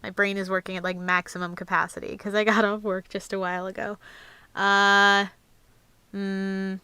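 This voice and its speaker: Clear-voiced young woman chatting casually. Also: mid-range voice, level tone, normal speed, sharp tone, dry recording. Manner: chatty, rambling. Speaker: host, amateur voice actor. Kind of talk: casual livestream Q&A chat.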